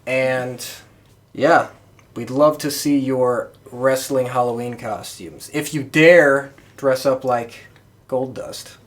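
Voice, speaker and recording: A man hosting a podcast in casual conversation, with a rambling, halting delivery. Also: mid-range voice, fairly even intonation. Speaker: podcast host. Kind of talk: casual chat.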